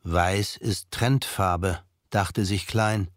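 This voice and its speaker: A man narrating a crime audio drama in a measured, matter-of-fact manner. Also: deep voice, steady tone, dry recording, clean studio sound. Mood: dry, measured.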